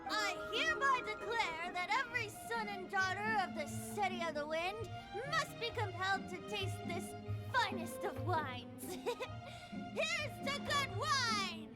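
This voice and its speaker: A woman's voice: very high voice, animated, a little slow. A woman voicing an exuberant, tipsy young reveller in a fantasy game cutscene, with a gushing, playful delivery. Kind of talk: video game cutscene voice acting. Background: music.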